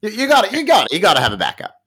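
A man's voice: high voice, wildly swooping pitch, very fast, pushed and bright, slightly rough. A man co-hosting a podcast, chatting about football in an easygoing, joking way with many fillers. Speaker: podcast co-host. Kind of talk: casual sports chat.

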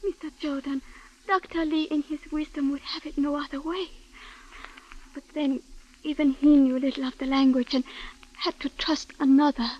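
An earnest, deferential immigrant woman played by a radio actress, formal and careful. Her high voice comes through a muffled 1940s drama broadcast. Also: fairly even intonation, moderate pace, warm tone, close to an everyday voice. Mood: solemn. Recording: dull old radio broadcast.

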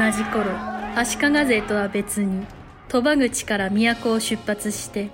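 A woman's voice: mid-range voice, lightly acted. A woman narrating a historical war tale in a scripted audio drama, with formal, solemn storytelling.

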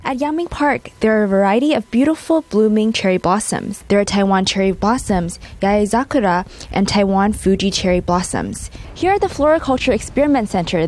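A woman's voice: mid-range voice, a little mellow. A woman narrating a news field report in an upbeat reporting manner.

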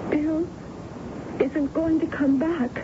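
A woman's voice: high-pitched, steady tone, takes it slowly. A woman playing a distraught young American woman, a daughter recounting a terror, in a radio drama. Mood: insistent, upset. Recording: muffled, noisy vintage radio recording.

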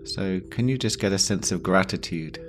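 A man guiding a yoga nidra meditation, giving gentle instructions slowly with long pauses. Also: sits low, noisy background. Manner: slow, pausing, gentle instruction. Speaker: meditation guide.

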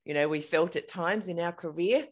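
A woman's voice: fairly low pitch, normal speed. A woman hosting a podcast, enthusiastic and chatty, often restarting her sentences as she asks questions. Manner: enthusiastic, chatty, trips over her words. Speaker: podcast host. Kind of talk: podcast interview.

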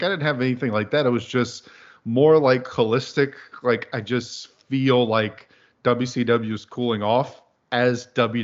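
A man in his thirties from the American Deep South, chatting casually as a podcast guest in a meandering, dryly humorous way. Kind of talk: casual chat. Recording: dull lo-fi podcast audio.

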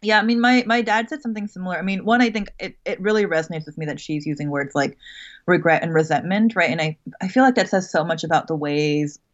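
A woman's voice: on the low side, fast. A woman guest who teaches creative writing, answering thoughtfully in casual talk with lots of "like" and "right?". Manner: thoughtful, uses many "like" and "right?" asides. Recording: muffled remote call audio.

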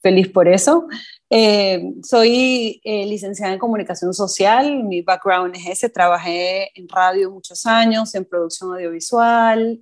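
A woman in her thirties from Venezuela with a Venezuelan accent, a podcast guest talking warmly and enthusiastically, with frequent hesitations. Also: mid-range voice. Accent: Venezuelan Spanish. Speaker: podcast guest, ice cream entrepreneur. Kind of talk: casual interview chat.

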